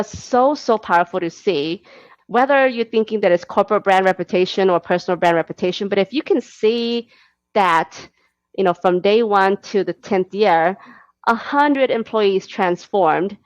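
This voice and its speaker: A woman's voice: mid-range voice, no echo. A woman guest on a podcast, talking eagerly about a big vision and laughing between thoughts. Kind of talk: casual interview talk. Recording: dull dry lo-fi audio.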